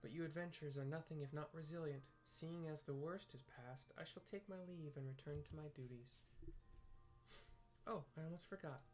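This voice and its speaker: A man reading aloud the lines of a brisk, teasing, motherly woman healer in a fantasy game. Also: fairly high pitch, darkish tone, soft background music, natural voice. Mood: teasing, caring.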